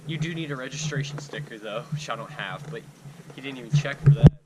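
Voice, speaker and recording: A man's voice: mid-range voice, fast. A young American man chatting casually with friends as a vlogger, recorded on very noisy amateur video.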